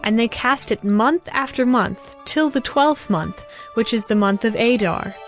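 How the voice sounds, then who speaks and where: A woman narrating scripture from a script as a measured, formal storyteller in a dramatized audiobook. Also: on the high side. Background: music.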